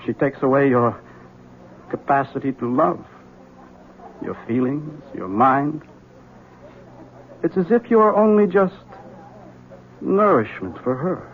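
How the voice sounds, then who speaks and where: An actor playing a bitter, obsessed husband in a 1970s radio drama, sardonic and intense, on a tinny recording. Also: male voice, medium pitch, animated, slow pace, long pauses, slight background noise. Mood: brooding. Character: obsessed, bitter husband convinced his wife is evil.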